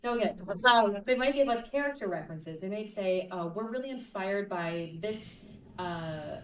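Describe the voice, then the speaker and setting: A woman's voice: medium pitch, lively intonation, normal speed. A woman voice actor on a convention panel, thoughtfully explaining her craft through examples. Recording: echoey hall, mic from far off.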